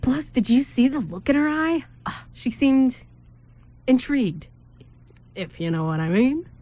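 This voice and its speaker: Radio play groom played by a woman: playful, reassuring buddy, high voice with big melodic swings. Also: animated, a little slow, mellow. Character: teasing, encouraging male groom, loyal buddy. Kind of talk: amateur radio play script reading.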